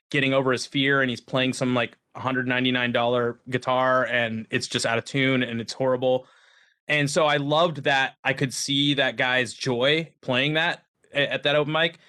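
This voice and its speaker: Bright-voiced man telling rambling stories. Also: mid-range voice. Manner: rambling, self-deprecating storyteller. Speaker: musician guest. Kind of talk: casual conversation.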